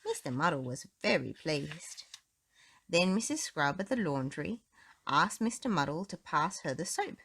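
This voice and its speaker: Animated woman reading a children's storybook. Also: sits low, measured pace, husky. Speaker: children's book narrator. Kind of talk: expressive storybook read-aloud.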